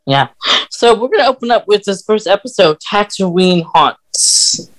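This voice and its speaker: Higher-voiced man, casual podcast host. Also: lively intonation, a little slow, low-quality recording. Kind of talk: casual chat.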